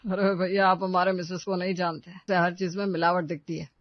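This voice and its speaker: A sarcastic, grumbling middle-aged husband, as a man plays him, with a fairly high voice. He sounds tired of his wife's schemes. The sound is dry and dull, like a studio voice-over. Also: little pitch movement, moderate pace, no room sound.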